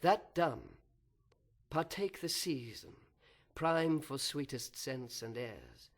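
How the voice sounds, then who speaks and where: A man narrating literary verse dramatically, measured and solemn, with deliberate pauses. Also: on the high side, lively intonation.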